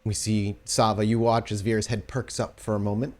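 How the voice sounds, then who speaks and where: A man narrating a tabletop roleplaying game as storyteller, in a measured, descriptive and dramatic cinematic style. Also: on the low side, flat delivery, a little mellow. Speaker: game master, storyteller of an actual play podcast.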